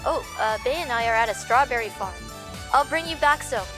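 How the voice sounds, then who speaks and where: A woman acting a caring young female friend with an earnest, fretful manner in an animated fan drama. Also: on the high side, fairly quick, over music, lightly acted, rough recording. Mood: earnest, apologetic.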